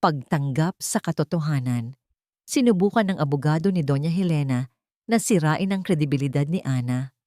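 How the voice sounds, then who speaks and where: Woman narrating a dramatic story, dry and low-pitched. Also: animated, moderate pace, warm tone, no echo.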